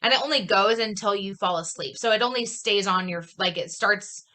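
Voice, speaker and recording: A woman's voice: mid-range voice, a little fast, sharp tone. A woman in casual conversation, explaining things in an informal, rambling way. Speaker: podcast host. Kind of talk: casual chat.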